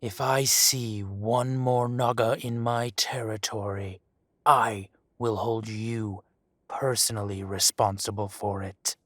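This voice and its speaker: An actor playing a smug, teasing dragon mafia boss who speaks slowly and often drops to a whisper. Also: male voice, on the low side, fairly even intonation, fairly bright tone, dry recording, studio quality. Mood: stern. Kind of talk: audio roleplay acting.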